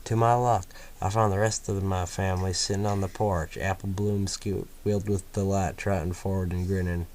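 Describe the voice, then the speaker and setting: Deep-voiced man reading a story aloud. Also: level tone, normal speed, few pauses, darkish tone, slight background noise. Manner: stumbling, chatty asides. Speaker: fanfiction reader.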